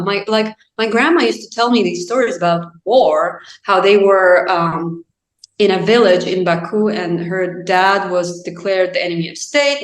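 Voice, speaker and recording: A woman, speaking English as a later language as an interview guest. She thinks aloud in a reflective way, using plenty of "you know" and "like" as she goes. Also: mid-range voice. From Azerbaijan. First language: Azerbaijani. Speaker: guest author. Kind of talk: casual interview chat. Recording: roomy echo.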